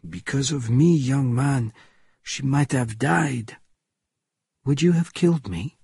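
A man voicing a weary, grave old French killer in a dramatic audiobook reading, speaking in measured phrases. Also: mid-range voice, creaky, a little mellow, slightly rough, no echo, clean studio sound, exaggerated voice. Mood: grave, resigned. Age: older.

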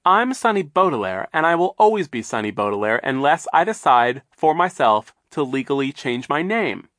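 A man's voice: fairly high pitch, sing-song, moderate pace, fairly bright tone, no room sound, studio quality, pitched above the performer's own voice. Fierce baby girl, shrieking, read by a male narrator.

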